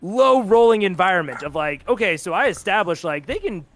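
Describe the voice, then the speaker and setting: A man's voice: high-pitched, very animated, fairly quick, fairly bright tone, no echo. A man speaking as an interview guest, informally explaining how he runs tabletop games. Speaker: guest, content creator and game master. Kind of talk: casual interview chat about tabletop games.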